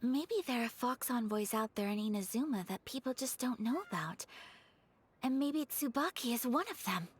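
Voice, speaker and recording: A woman acts as a young noblewoman from an anime game, shy, earnest and very courteous. The voice is high and gentle, with formal phrasing. A faint noise runs under the recording. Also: expressive, moderate pace, close to an everyday voice. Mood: intrigued.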